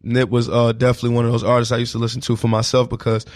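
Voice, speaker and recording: A man's voice: medium pitch, monotone, racing. A man chatting casually and in slang as an artist guest on a radio interview. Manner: slangy, repeats catchphrases like "you know what I'm saying". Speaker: guest, independent hip hop artist.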